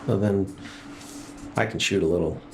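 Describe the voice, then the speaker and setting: Guy, lowish voice, just chatting through a tabletop game. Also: normal speed, noisy background. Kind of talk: casual game chat.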